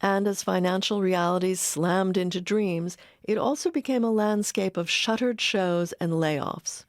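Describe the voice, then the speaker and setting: A woman's voice: mid-range voice, measured pace, no room sound, studio quality. A woman reading scripted documentary narration as its narrator. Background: music.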